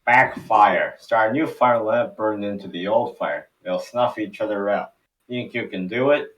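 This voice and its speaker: Man acting a low-voiced folksy old grandpa. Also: normal speed, fairly bright tone, distant mic, muffled recording, lightly acted. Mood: decisive. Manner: folksy, firm. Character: gruff, caring old grandfather, steady and decisive. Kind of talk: animated series table read.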